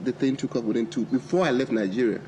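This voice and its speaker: A male singer being interviewed, quickly telling his story. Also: medium pitch, steady tone, mellow. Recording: noisy street audio.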